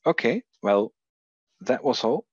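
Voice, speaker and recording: A man's voice: mid-range voice, very animated, slow, breathy edge, dry recording, muffled recording. A man from Belgium with a Belgian accent, presenting research over a video call, hesitant, with many fillers and restarts. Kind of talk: conference talk on a video call.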